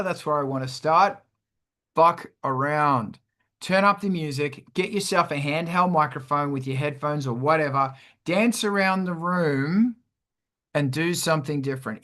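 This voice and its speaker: A man hosts a casual music lesson in a bright, punchy voice that sits a little high. He explains in an irreverent, animated way and swears freely as he goes. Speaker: host of a music production show. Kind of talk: casual music teaching with sung demonstrations.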